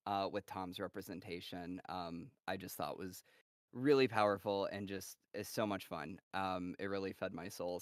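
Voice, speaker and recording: A man with a lowish, smooth and clear voice hosts a casual live-stream Q&A. He reads out fan questions in an easygoing way, full of ums and likes. The sound is dry and close. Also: no echo. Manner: relaxed, filler-heavy, reads questions aloud. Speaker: host reading fan questions.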